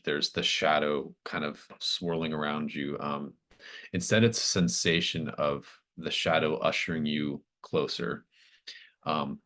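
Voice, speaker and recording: This is a man with a very low, deep voice, acting as game master for a tabletop horror game. He speaks slowly and haltingly, thinking his way through eerie descriptions. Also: a bit bright.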